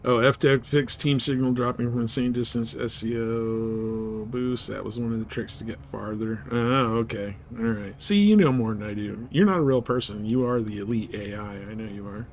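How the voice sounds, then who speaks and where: A man's voice: mid-range voice, normal speed, hardly any pauses, a little hiss or hum. A man giving casual live commentary on a game, chatting and thinking aloud. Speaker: game streamer.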